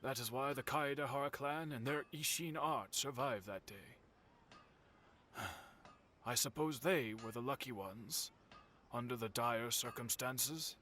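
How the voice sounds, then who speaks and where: Grave storyteller, formal, courteous, measured phrasing, medium-pitched voice, video game dialogue, faint background noise. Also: male voice, pushed and bright. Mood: grave, sorrowful. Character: solemn, courteous storyteller recounting old family tragedy.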